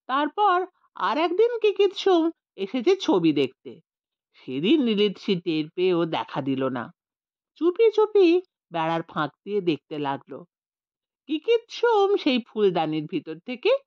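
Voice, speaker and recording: A woman narrating a scripted story as an audiobook reader, in an expressive storytelling style. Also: medium pitch, measured pace, dry recording, dull audio.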